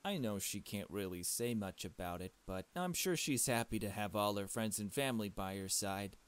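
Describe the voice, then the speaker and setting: A man's voice: on the low side, expressive, normal speed, clean tone, close to an everyday voice. A man voicing a kind, earnest, mild-mannered girl in a visual novel, hesitant and reassuring.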